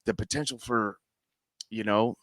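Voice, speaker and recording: A man's voice: lowish voice, steady tone, a little slow, no room sound. A middle-aged man from the United States co-hosting a podcast, rambling in casual chat and often asking "you know what I mean". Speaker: podcast co-host.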